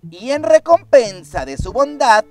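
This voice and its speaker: A woman with a Mexican accent telling a children's story in an expressive, playful, emphatic way. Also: high voice, measured pace. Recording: music behind the voice.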